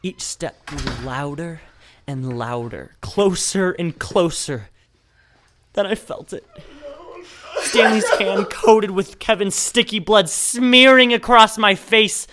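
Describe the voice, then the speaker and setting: An actor playing a traumatized, bitter survivor of a hostage ordeal, narrating intensely in the first person in an audio drama. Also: male voice, high voice, slow, noisy background. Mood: haunted, intense.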